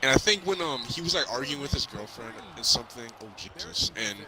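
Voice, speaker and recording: A man casually recapping a movie in a halting, rambling way, repeating himself as he goes. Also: mid-range voice, animated, low-quality recording. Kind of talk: casual movie recap chat while playing a video game. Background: crowd noise and basketball bouncing from a game.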